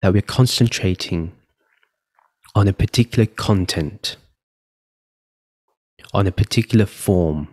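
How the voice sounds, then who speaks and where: Low-voiced man guiding a meditation. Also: slightly rough. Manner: gentle, unhurried, pausing between phrases. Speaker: meditation guide and podcast host. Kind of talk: guided meditation, spoken instruction.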